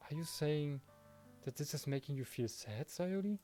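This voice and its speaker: A man voicing an earnest, devoted teenage boy in love, reading a visual novel aloud in a gentle, sincere way. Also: a little high, animated, moderate pace, soft background music, lightly acted. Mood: gentle, concerned.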